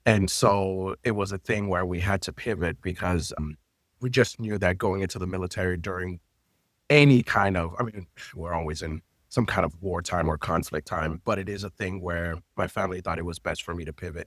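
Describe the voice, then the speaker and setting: A man in his late thirties, a native Haitian Creole speaker, telling his story in an interview in an expressive, personal way with loose phrasing. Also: low voice, no room sound. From Florida, United States. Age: late 30s. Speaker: guest, intimacy coordinator and teacher.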